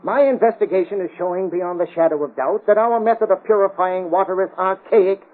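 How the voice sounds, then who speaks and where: An actor as a high-pitched, pompous rabble-rouser. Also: male voice, a little fast, natural voice. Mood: smug. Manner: declamatory, pompous. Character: smug, sneering crooked city official and rabble-rousing orator. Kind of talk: 1930s radio drama acting. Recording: tinny, narrow-band old radio recording.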